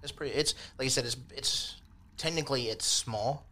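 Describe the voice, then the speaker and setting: A man's voice: mid-range voice, moderate pace, pushed and bright, slight background noise. A man acting as game master, casually improvising narration and explaining rulings in an easygoing, conversational way. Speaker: game master of a tabletop role-playing podcast.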